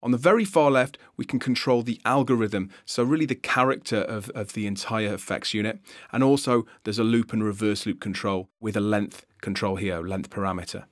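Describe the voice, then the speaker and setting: Male tutorial narrator, fairly low pitch, conversational explainer delivery, very dry recording. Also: fairly even intonation, a little fast, no room sound. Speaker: software tutorial instructor.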